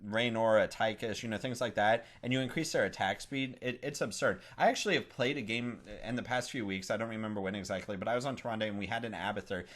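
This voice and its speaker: Man chatting excitably on a gaming podcast. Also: on the low side, fast talker, few pauses. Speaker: podcast co-host. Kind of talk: casual gaming podcast discussion.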